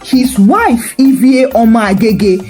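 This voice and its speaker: A woman's voice: on the high side, takes it slowly, mellow, soft background music. A woman narrating a scripted story with wry, dramatic emphasis.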